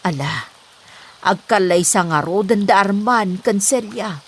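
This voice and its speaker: A woman acting as an ailing older village mother in a comedy drama, husky and very slow. She sounds modest, hesitant and plaintive. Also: medium pitch.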